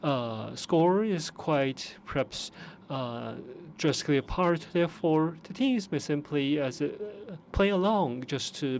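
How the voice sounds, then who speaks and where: Man interpreting forum talks, fairly high. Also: lively intonation, darkish tone, slight background noise. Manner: measured, with frequent pauses and restarts. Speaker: conference interpreter. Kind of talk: simultaneous interpretation of forum speeches.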